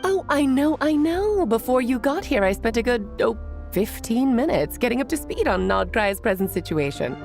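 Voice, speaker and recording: A woman's voice: on the high side, very animated, moderate pace, gravelly, darkish tone, faint music behind. A woman voicing a playful, self-admiring great mage in a video game, with a theatrical, teasing, breezy manner.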